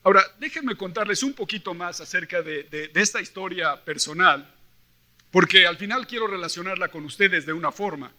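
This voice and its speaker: High, bright-voiced Mexican preacher man. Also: brisk. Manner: animated storyteller, acts out quoted dialogue; emphatic, with rhetorical questions to the listeners. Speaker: preacher at a church convention. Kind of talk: sermon mixing Bible reading and personal stories.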